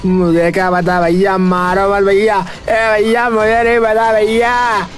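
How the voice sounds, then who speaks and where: A man's voice: high voice, flat delivery, takes it slowly. A man voicing a cowering petty village thief in a cartoon, whining and pleading. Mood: frightened, pleading. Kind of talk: cartoon voice acting. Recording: music behind the voice. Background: music.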